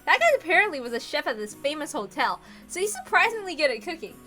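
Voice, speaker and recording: Casting: cheeky young guy played by a woman, loud, bright, hearty and casual, visual novel. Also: high voice, sing-song, fast talker, soft background music, voice pushed up, full character voice. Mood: eager. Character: boisterous, joking, food-loving young man.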